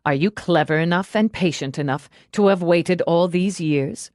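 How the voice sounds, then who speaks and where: A woman's voice: fairly low pitch, normal speed, darkish tone, no echo, clean studio sound, lightly acted. A woman voicing a hard, relentless female police lieutenant in an audiobook, pressing a suspect with confrontational questions. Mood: cold, relentless. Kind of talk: audiobook character dialogue.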